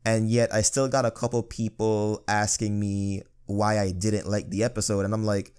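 A young man in a casual discussion, with a lot of bass that makes him sound firm. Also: little pitch movement, moderate pace, darkish tone, clean tone. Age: young adult. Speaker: guest reviewer.

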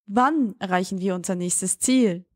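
A woman's voice: medium pitch, unhurried, darkish tone, no echo, close to an everyday voice. Woman acting a spaceship commander, close and dry. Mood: commanding. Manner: brief commanding questions. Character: female spaceship commander giving orders to her computer. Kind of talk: science fiction audio drama.

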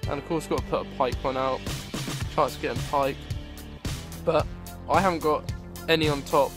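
Young guy, fishing vlogger, rambling outdoor chat at arm's length, voice a touch high, music bed. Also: fairly even intonation, normal speed. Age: young adult. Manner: chatty, rambling, addresses viewers as guys. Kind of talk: casual outdoor vlog. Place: riverbank outdoors in winter. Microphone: handheld camera at arm's length.

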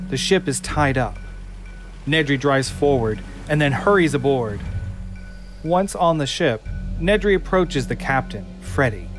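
A man narrating a scripted audio drama, reading screenplay action. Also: fairly high pitch.

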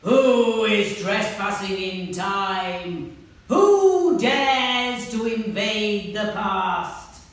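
A menacing fire-breathing dragon from a storybook booms its words out very slowly and heavily, as a woman puts it on. The sound is echoey, with the mic set back at a distance. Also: animated. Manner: slow, booming, commanding.

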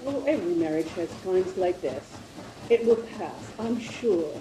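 An older woman playing a prim, sensible Edwardian lady who counsels her friend in a radio mystery, with genteel, measured diction. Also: fairly low pitch, lively intonation. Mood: sympathetic. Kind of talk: radio mystery play acting. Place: room at home, video call. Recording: roomy, slightly noisy video call.

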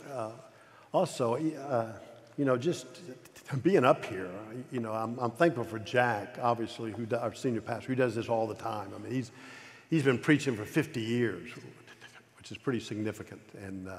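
A middle-aged man preaching a sermon at a pulpit in a large church auditorium, talking with a stutter, frequent repeats and restarts. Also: mid-range voice. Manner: gestures broadly at a pulpit. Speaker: pastor. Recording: long reverb of a large hall.

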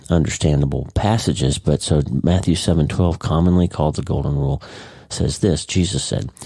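Very deep-voiced congested man hosting a podcast. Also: breathy edge, no echo. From United States. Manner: warm, chatty, leaves in his own slips. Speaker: podcast host. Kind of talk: casual spoken podcast monologue. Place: home, early morning.

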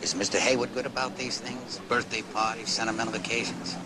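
A man's voice: medium pitch, fairly even intonation, fairly quick, a crowd in the background, lightly acted. An actor playing a polite, doggedly curious police lieutenant in an audio drama, hesitant and unassuming in his questioning. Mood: quietly persistent. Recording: loud street and vehicle noise behind.

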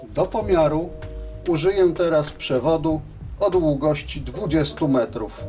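A man's voice: fairly high pitch, unhurried, dark tone, soft background music. A man giving scripted, methodical and matter-of-fact instructional narration as the presenter of a technical tutorial.

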